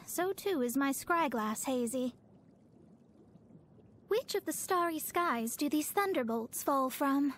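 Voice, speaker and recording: A woman's voice: high voice, a little hiss or hum. A woman voicing a proud young female astrologer in a video game, speaking formally and pompously with theatrical delivery. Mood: grave, measured. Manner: formal, pompous, precise wording. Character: proud young woman astrologer, haughty, scholarly, easily flustered.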